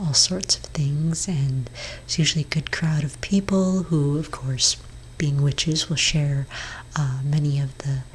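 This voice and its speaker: Woman, low-pitched, breathy near-whisper; gentle therapist roleplay for ASMR, reassuring and hesitant, faint ambience behind. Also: a little hiss or hum, low-quality recording. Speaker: ASMR performer role-playing a therapist. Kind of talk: soft-spoken ASMR roleplay.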